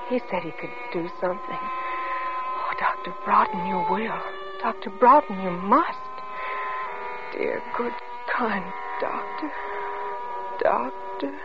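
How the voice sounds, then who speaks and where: Actress as anguished young wife, pleading and intense, vintage radio melodrama. Muffled sound under heavy noise. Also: female voice, mid-range voice, close to an everyday voice. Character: anguished young pregnant wife of an unstable husband. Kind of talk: old-time radio drama acting.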